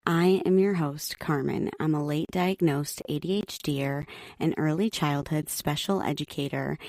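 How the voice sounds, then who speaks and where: A middle-aged woman hosting her own podcast, talking in a calm, steady monotone. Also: sits low, moderate pace, no echo, clean studio sound. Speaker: podcast host. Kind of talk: solo podcast talk. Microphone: home podcast mic.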